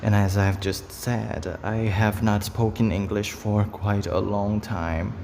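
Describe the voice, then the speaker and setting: A Brazilian man with a Portuguese accent talks to camera at a slow, unhurried pace, his low voice carrying a gravelly edge. He rambles and repeats phrases, filling gaps with ums and you knows. The voice has a long reverb tail with faint noise behind it. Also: flat delivery, darkish tone. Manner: rambling, repeats himself, many fillers. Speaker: vlogger. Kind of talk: unscripted talk to camera.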